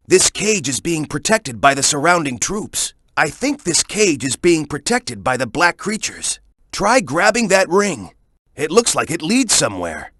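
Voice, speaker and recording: A man's voice: on the high side, a bit bright, no echo, clean studio sound. A man voicing a cocky, tough young echidna hero in a video game, giving hints in a confident, punchy, instructive manner. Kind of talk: video game voice acting, tutorial hints and banter.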